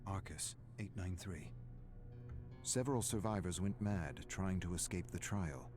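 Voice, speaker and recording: An actor playing a grave, weary scholarly archivist with a morbid fascination, narrating dark game records in a deliberate, brooding way. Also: male voice, sits low. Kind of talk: dramatic scripted game narration. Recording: clear music behind the voice.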